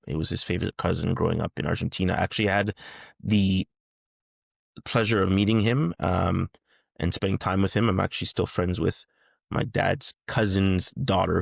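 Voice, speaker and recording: A man's voice: sits low, gravelly, breathy edge, dry recording, clean studio sound. A man in his thirties from Canada, speaking as a guest in a casual interview, hesitant with many fillers and restarts. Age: 30s.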